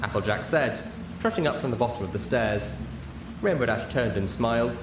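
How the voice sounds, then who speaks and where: A man reading a story aloud as narrator, in an expressive scripted style. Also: mid-range voice, normal speed. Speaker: audiobook narrator. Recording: roomy, echoey, clear background noise.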